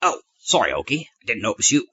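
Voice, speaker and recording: A man's voice: mid-range voice, sing-song, fast talker, background noise, natural voice. A man playing a prissy, exasperated mission leader with a fussy, melodramatic manner in a comedic audio drama. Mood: apologetic, patient. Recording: muffled lo-fi sound under loud music.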